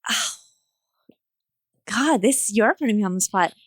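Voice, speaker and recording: A woman's voice: mid-range voice, animated, unhurried, breathy edge, dry recording, studio quality. A woman speaking as a podcast guest, thinking aloud hesitantly in a casual discussion.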